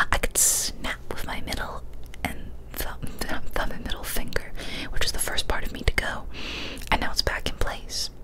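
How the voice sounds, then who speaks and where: Whispering young woman reading a scary story. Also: rough recording. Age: young adult. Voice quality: soft, breathy whisper. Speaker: ASMR storyteller. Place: small decorated indoor room. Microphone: large studio condenser mic, up close.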